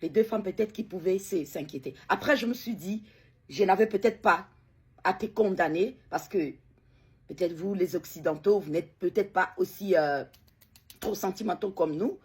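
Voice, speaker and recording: A middle-aged woman, a bereaved mother, giving an emotional personal account in a hesitant way, with many pauses and repeated words. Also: lowish voice, husky.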